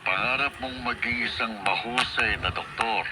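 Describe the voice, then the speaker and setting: A man acting in a Filipino radio drama as a wealthy, middle-aged widowed employer, measured and calculating. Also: medium pitch, heavy background noise, natural voice. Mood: persuasive, calculating.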